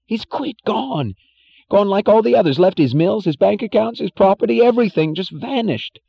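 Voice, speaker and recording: A man voicing a panicked, whining middle-aged male executive in shrill, frantic, pleading outbursts. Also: lively intonation, moderate pace, creaky, breathy edge, muffled recording, exaggerated voice.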